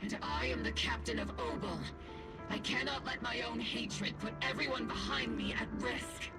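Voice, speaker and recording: A woman's voice: a little high, fairly even intonation, moderate pace, natural voice. A woman playing a principled, wounded military captain in a video game, earnest and solemn. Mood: firm, impassioned. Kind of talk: dramatic video game voice acting. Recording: loud music behind the voice. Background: loud game music.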